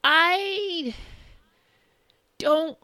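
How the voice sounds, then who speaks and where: A woman podcast co-host chatting casually in a halting, conversational way, recapping what she has been watching. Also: extremely high pitch, sharp tone, dry recording, clean studio sound. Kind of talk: casual chat, recapping shows and films.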